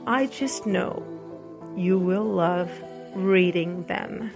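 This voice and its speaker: Woman, Portuguese native speaker, podcast host, very slow, hesitant with fillers, gravelly voice. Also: fairly low pitch. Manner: unhurried, hesitant, with fillers and restarts. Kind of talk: casual book recommendations and reading aloud. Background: soft music.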